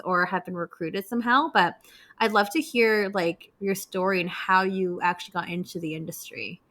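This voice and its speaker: Bubbly bright-voiced woman host. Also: medium pitch. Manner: bubbly, laughs often, chatty. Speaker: podcast host. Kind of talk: casual interview chat.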